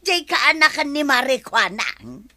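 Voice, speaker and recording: A woman's voice: high voice, big pitch swings, takes it slowly, bright, forceful tone, heavily acted. A woman playing a nagging, teasing village auntie in a comedy radio drama, with an Ilocano accent.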